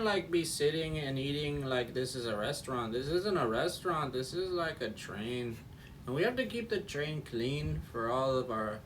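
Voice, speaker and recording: A man voicing a goofy male train conductor giving silly announcements in a slow, mock-official tone. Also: medium pitch, a bit bright, recorded from a distance, slight background noise, low-quality recording.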